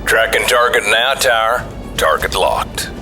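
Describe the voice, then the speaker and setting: A man's voice: lowish voice, a little slow, music in the background, lightly acted. A man playing a terse, focused military pilot in a radio drama.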